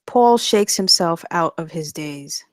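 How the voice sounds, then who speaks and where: A woman's voice: on the low side, lively intonation, slow, darkish tone, dry recording, clean studio sound. A woman narrating the stage directions of a comedy screenplay at a table read.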